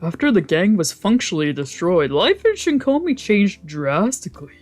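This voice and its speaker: Mid-pitched streamer with informal, wry delivery. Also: sing-song, normal speed, soft background music. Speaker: streamer playing a visual novel. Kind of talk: casual let's play, reading text aloud with commentary.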